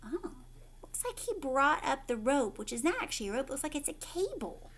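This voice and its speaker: A woman reading a storybook aloud as a teacher, animated, with chatty asides straight to young listeners. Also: high voice, a little fast.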